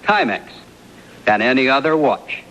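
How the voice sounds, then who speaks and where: A man delivering a 1960s television commercial as a pitchman. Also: a little slow, fairly bright tone. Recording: dull, lo-fi vintage broadcast.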